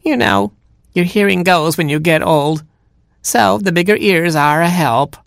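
A performer voicing a sly storybook cat who pretends to be a frail, fussy old grandmother bird, in drawn-out, quavery talk. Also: mid-range voice, creaky, no echo, clean studio sound, voice pushed up. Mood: feeble, fussy.

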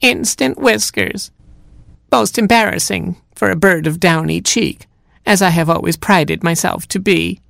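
A performer voicing a sly storybook cat who pretends to be a frail, fussy old grandmother bird, in drawn-out, quavery talk. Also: medium pitch, gravelly, fairly bright tone, no echo, clean studio sound, voice pushed up. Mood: feeble, fussy.

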